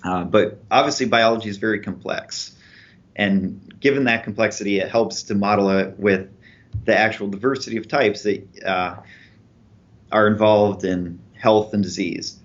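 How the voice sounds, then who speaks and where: Guest researcher, man, lowish voice, steady methodical explainer, muffled lo-fi audio. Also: normal speed. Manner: clear, methodical explaining with examples. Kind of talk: explanatory interview answers. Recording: dull lo-fi podcast audio.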